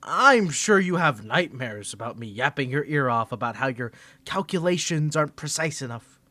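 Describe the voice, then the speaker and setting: A man's voice: medium pitch, animated, moderate pace, sharp tone, no echo. A man voicing a flustered young tsundere male student rival in an ASMR roleplay, teasing and defensive, stammering when caught off guard. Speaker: ASMR creator.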